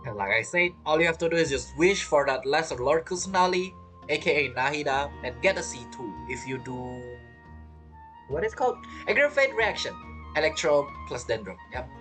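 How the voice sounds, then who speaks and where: A man's voice: on the high side, lively intonation, moderate pace, bright, forceful tone, faint music behind. A man giving casual gameplay commentary as a streamer, rambling and playful, with non-native phrasing. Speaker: game streamer.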